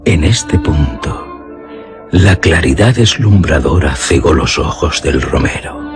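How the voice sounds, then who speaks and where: Male narrator, low voice, unhurried, solemn, theatrical radio storytelling, music behind. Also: lively intonation. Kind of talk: dramatic literary radio narration.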